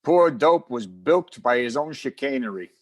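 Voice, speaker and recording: A man's voice: mid-range voice, expressive, unhurried. A man playing a friendly, slangy police detective with tough-guy banter in a 1940s radio drama style. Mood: amused, impressed. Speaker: community theatre voice actor.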